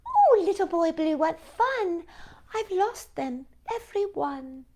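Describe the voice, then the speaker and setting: A woman reading the part of a sweet, carefree young shepherdess from a nursery rhyme in lilting, measured verse. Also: very high-pitched, sing-song, darkish tone, pitched above the performer's own voice, full character voice.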